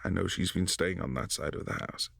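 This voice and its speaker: A man voicing a brooding, possessive adult vampire prince in a boyfriend ASMR audio drama, speaking softly and often in a hushed whisper. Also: sits low, a little fast, creaky, husky, dry recording, exaggerated voice. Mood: calm, concerned.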